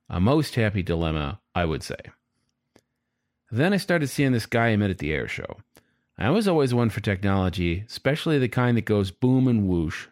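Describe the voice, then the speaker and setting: Young male fox narrator, played by a man: lowish, dry close recording, cheeky, randy, wry, confiding audiobook delivery. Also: dry recording. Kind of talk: first-person audiobook storytelling.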